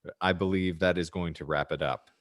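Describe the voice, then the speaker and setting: A man with a very deep voice hosts a casual comedy podcast. He talks in wordy, mock-grand phrases, always joking. The sound is dry, with very little room in it. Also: steady tone, a little fast, no echo. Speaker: podcast host.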